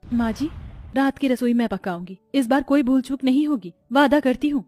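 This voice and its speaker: A woman's voice: high-pitched, moderate pace, a little mellow, faint music behind, lightly acted. A woman voicing a poor, humble young daughter-in-law in a cartoon story, in a meek, sincere manner. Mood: earnest.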